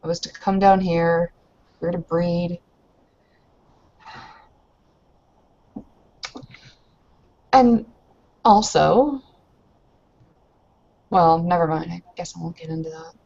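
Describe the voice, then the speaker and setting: A woman's voice: fairly low pitch, measured pace, creaky, long pauses, dark tone. A woman playing a candid, hesitant young newlywed bride who is frustrated, in improvised tabletop roleplay. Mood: frustrated, confused. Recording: dull lo-fi voice recording.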